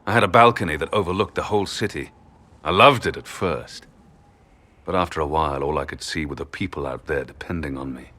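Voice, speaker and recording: A seasoned, world-weary champion in a fantasy game. His voice is deep with a firm, bright edge, and he speaks in a measured, earnest way. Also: exaggerated voice. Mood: weary, reflective. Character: battle-weary male champion, grave and helpful. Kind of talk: video game voice acting.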